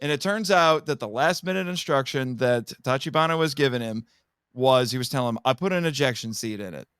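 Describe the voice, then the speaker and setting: A man giving an animated, casual plot recap as a podcast guest, telling it dramatically and acting out the characters' lines. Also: mid-range voice, brisk, clear, resonant tone, dry recording, clean studio sound. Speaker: podcast guest reviewing a film.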